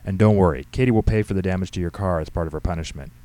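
Weary low-voiced father, read by a man. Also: racing, mellow, lightly acted. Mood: weary, firm. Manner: stern, long-suffering. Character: weary, exasperated middle-aged father, a pastor. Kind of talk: audiobook character dialogue.

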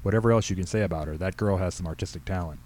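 A man's voice: deep voice, racing, darkish tone, natural voice. A man voicing an anxious, sheepish middle-aged small-town pastor, earnest and embarrassed, in a story reading.

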